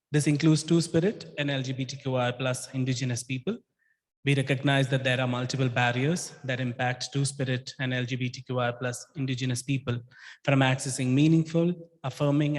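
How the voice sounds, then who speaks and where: Man hosting in a reverberant hall. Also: medium pitch. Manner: measured, halting, with frequent fillers and restarts. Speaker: event host. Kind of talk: scripted announcements and introduction. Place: large event hall.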